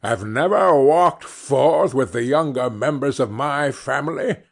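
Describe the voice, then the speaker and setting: A man playing a jolly giant spirit, husky and slow. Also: mid-range voice, expressive. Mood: genial. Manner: cheery, commanding.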